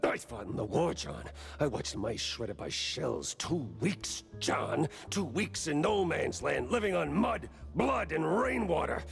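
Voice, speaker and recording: A man voicing a hard-boiled, cynical mouse private eye in a 1930s noir cartoon game, with a gravelly, dry, sardonic delivery. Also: a little high, very animated, unhurried, hardly any pauses, full character voice. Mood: bitter. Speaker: private investigator. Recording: voice over music.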